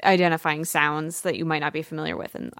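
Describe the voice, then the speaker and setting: A woman's voice: deep voice, quick pace, a bit bright, no room sound, clean studio sound. A woman co-hosting a casual podcast, chatty and talking in loose, rambling sentences. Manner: chatty, many fillers and restarts. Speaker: podcast co-host. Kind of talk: casual chat.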